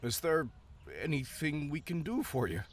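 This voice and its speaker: Man as a lively, dry-humored town guard. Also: on the high side, moderate pace. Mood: guarded, protective. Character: loyal, dry-humored male town guard, protective friend. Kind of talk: fantasy audio roleplay acting.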